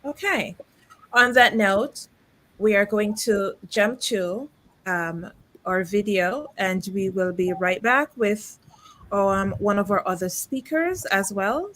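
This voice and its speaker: A woman hosting a conference question and answer session, halting as she puts her questions. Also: medium pitch, a bit bright. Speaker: conference host and moderator.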